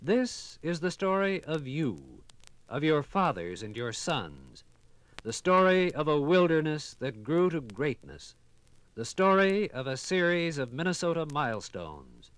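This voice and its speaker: A man narrating a scripted 1950s radio documentary in a grand, dramatic manner with measured phrasing. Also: on the high side, big pitch swings, a bit bright.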